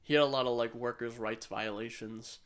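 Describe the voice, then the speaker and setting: A man's voice: medium pitch, steady tone, a little fast. A man co-hosting a podcast, chatting casually and giving opinions in a blunt, sweary way. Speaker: podcast co-host.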